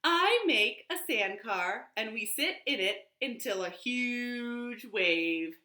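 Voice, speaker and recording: A woman hosting storytime for young children, speaking in an animated, clearly paced way as she shares rhymes and picture books from her living room. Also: on the high side, takes it slowly. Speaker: children's storytime host at a library. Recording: roomy, echoing home living room.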